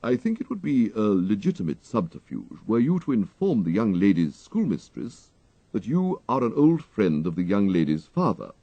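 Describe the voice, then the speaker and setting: A man voicing a deferential, unflappable English valet in a storybook, speaking in formal, ornate, measured phrases. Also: lowish voice, big pitch swings, dry recording, muffled recording. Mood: suave. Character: dignified English gentleman's valet, deferential, unflappable, dryly clever.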